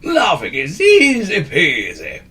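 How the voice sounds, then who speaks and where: A man voicing a large, fat, excitable male wizard who talks in a wheezy way, bossy and cheerful. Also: high-pitched, takes it slowly, pitched above the performer's own voice, full character voice.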